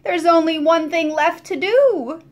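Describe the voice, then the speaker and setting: A worn-out storybook landlady groans and frets in a high, strained voice, as a woman reader puts her on. She sounds exasperated and bone tired, but kindly underneath. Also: unhurried, fairly bright tone. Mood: tearful.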